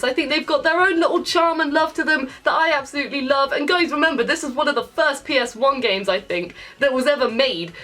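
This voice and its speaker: High-pitched British woman, playful game commentary. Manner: animated, expressive, mildly sweary, playful exasperation. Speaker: video game reviewer and channel host. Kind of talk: chatty comedic commentary over game cutscenes. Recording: music behind the voice. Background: background music.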